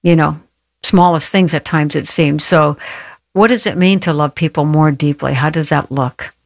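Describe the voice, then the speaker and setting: Interview host, earnest and encouraging, middle pitch, dry close sound. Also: moderate pace, creaky, no echo. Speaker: podcast host. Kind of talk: podcast interview.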